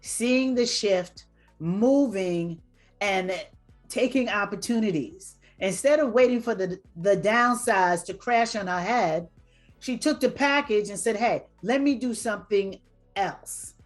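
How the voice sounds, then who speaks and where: A woman's voice: mid-range voice, takes it slowly. An upbeat woman with a mid-range voice hosts an interview show, speaking in a motivational, emphatic way. Faint music sits behind her. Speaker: podcast host.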